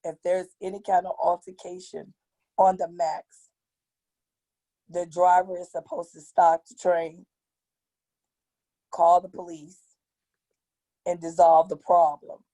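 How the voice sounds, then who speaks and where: A woman with a raspy, hoarse voice telling a personal account on an online panel, halting and pausing often. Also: fairly low pitch, little pitch movement, warm tone, rough recording. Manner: slow, halting, with long pauses. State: hoarse from tear gas. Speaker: activist guest. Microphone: video call.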